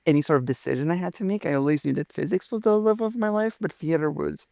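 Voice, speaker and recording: A young man from Romania talks through his story in an interview, warm with enthusiasm and fond of theatrical turns of phrase. His voice has a noticeable gravelly fry and a mid-range pitch. The sound is close and dry. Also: fast, dry recording. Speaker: physicist guest.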